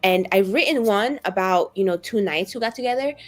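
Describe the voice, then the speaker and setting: A woman's voice: medium pitch, fairly quick. Casual woman at a game table, faint music. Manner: informal, peppers talk with "like". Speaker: tabletop roleplaying game player. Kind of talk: casual improvised game talk.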